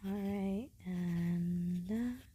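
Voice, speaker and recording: A woman playing a blunt, aloof, studious student in a scripted audio roleplay, speaking in a deadpan way with formal wording. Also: fairly low pitch, drawn-out words, slight background noise. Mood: focused, matter-of-fact. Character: blunt, aloof, studious female student, coolly matter-of-fact.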